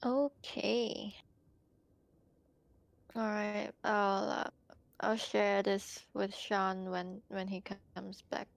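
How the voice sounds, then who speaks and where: A woman's voice: mid-range voice, level tone, very slow, gravelly, long pauses, no echo. A woman speaking casually as a player in an online roleplaying game. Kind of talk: casual roleplay over voice chat.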